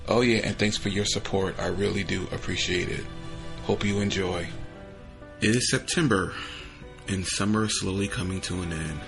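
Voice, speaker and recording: A man's voice: deep voice, fairly even intonation, normal speed, vocal fry. A man hosting, reading a scripted introduction to an episode. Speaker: podcast host. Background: music.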